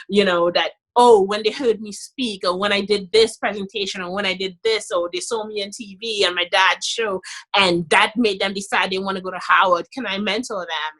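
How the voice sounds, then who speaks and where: Bright Trinidadian woman, emphatic. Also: mid-range voice, rough recording. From Trinidad and Tobago. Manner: animated, emphatic, frequent "you know". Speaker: podcast guest, dentist and author. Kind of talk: casual interview chat.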